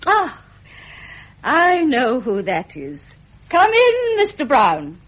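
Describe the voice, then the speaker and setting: A woman's voice: high voice, wildly swooping pitch, slow pace, highs cut off, a little hiss or hum, exaggerated voice. An actress playing an imperious, grieving elderly queen mother with an English accent, in a vintage British radio drama. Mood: regal, fond. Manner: regal, stern, formal, dramatic.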